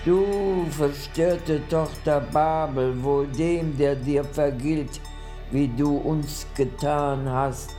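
An actor playing a solemn reciter of a biblical lament in a radio play, grave and monotone. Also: male voice, on the high side, drawn-out words. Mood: grave, somber. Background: music.

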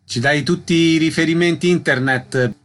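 A man's voice: on the high side, moderate pace. A male radio host asking short, direct questions and closing segments.

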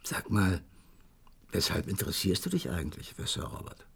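A genial gentleman confidant in a radio crime play. His voice is lowish and husky with a gravelly creak. He talks in a measured, chatty way with wry understatement. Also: sing-song, heavily acted. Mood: curious. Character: Doctor Watson, genial, well-informed gentleman friend of a detective. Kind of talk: German radio crime drama acting.

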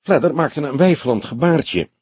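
A man reads story narration for an audiobook. His voice is husky, with lively movement in pitch, and the recording is dry and free of room sound. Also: moderate pace, dry recording.

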